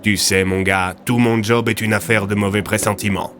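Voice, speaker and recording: A man's voice: fairly low pitch, fairly even intonation, moderate pace, fairly bright tone, a little hiss or hum, lightly acted. A man voicing a seasoned military officer, wry and secretive, talking in a familiar, laconic way.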